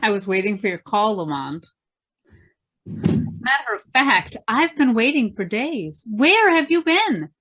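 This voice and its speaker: Woman playing earnest radio heroine, slightly high voice, questioning, concerned. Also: sing-song, a little slow, a bit bright, exaggerated voice. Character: loyal, concerned female companion of a crime fighter. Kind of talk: old-time radio drama acting.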